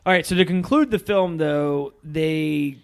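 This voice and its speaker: A man with a somewhat high speaking voice. He co-hosts a film podcast and talks in a loose, casual, conversational way with his friends. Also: measured pace, gravelly, clear, resonant tone. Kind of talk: casual chat, film discussion. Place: outdoors.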